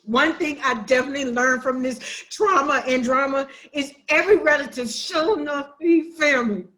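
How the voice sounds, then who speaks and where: A woman's voice: high voice, moderate pace, fairly bright tone. A woman acting a hurt, defiant, sassy sister who makes emphatic accusations in a dramatic play reading. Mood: bitter, resolute. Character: wounded, defiant younger-minded sister confronting her sibling.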